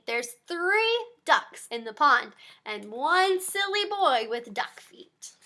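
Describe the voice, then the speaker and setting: A woman reading a picture book to young children, her high voice bright and full of swooping lift. She speaks slowly and chats straight to her small listeners between pages. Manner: animated, talks directly to young listeners. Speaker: pediatric therapist.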